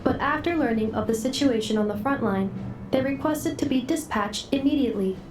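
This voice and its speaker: A woman's voice: high voice, fairly quick, noisy background, close to an everyday voice. A woman voicing a flying female robot courier who speaks in a clipped, formal report style. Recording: game audio with reverb over music.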